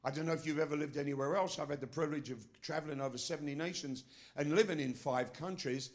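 A man's voice: on the high side, quick pace. A middle-aged man preaching a sermon as pastor of his church, with a non-American accent, emphatic and asking the congregation questions. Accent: foreign, not American.

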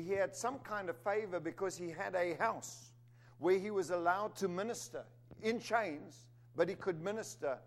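A man from Zimbabwe, raised in Africa, preaching an emphatic sermon with humorous asides. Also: high-pitched. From Zimbabwe, raised in South Africa. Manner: emphatic, rising to stress key words, with humorous asides.

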